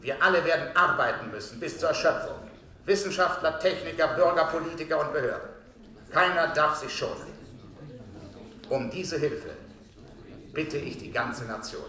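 An actor playing a grave head of government addressing the nation in a formal, emphatic radio-play speech. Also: male voice, a little high, normal speed, pauses often, sharp tone, roomy sound. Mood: urgent, rallying. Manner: solemn, emphatic oratory.

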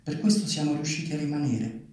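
A man speaking and reading at a live literary event, with a halting delivery. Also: fairly high pitch, lively intonation, a little mellow. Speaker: writer reading at a public event. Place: large reverberant venue, live event. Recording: very echoey hall, distant from microphone.

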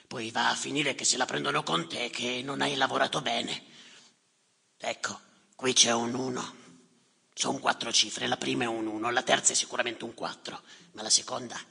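A man playing a bitter, callous clerk in a theatrical monologue, muttering scornfully in a gravelly, raspy voice with long reverb around him. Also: sharp tone, heavily acted. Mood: grumbling, irritated. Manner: muttering, scornful, erratic swings from low grumbles to outbursts. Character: bitter, callous, muttering male clerk tallying the drowned by number.

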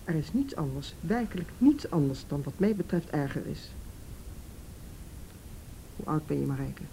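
A woman playing a reassuring youth officer in a radio play, measured, direct and patient. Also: animated, creaky, mellow, low-quality recording. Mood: calm, serious. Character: calm, firm, reassuring policewoman of the youth department. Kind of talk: Dutch radio play acting. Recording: faint hiss and low rumble.

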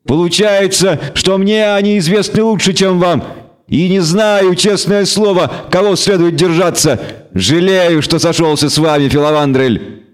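A man's voice: high voice, unhurried, pitched above the performer's own voice. A man voicing an indignant, defiant horned goat devil with a bleating voice, in a fantasy audiobook.

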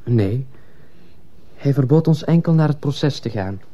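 A man's voice: medium pitch, lively intonation, unhurried, mellow, highs cut off, lightly acted. An actor playing an earnest, fearful schoolboy in a radio drama, confiding respectfully and hesitantly in his teacher.

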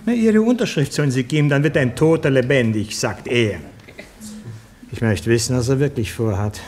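An actor playing an evasive, self-justifying and suspicious doctor in a radio play, hedging officiously. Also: male voice, mid-range voice, animated, normal speed, darkish tone, close to an everyday voice.

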